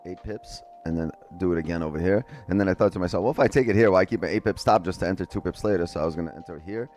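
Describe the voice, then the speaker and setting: A man's voice: sits low, a little mellow. A man casually talking through trades on a live stream, chatting loosely with viewers and swearing now and then, on a headset mic. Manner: loose, profane, chatty, talks to chat. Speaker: day trading streamer. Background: faint music.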